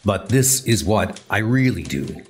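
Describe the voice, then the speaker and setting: Man playing middle-aged newspaperman, low pitch, weary, hushed, guarded, conspiratorial, audio storybook. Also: very animated, unhurried, slight background noise. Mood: secretive, earnest.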